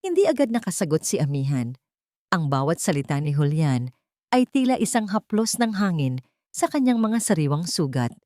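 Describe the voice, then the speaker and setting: A woman's voice: sits low, big pitch swings, normal speed, a little mellow, no room sound, studio quality. A woman with a Filipino accent reading a scripted story as a dramatic audiobook narration.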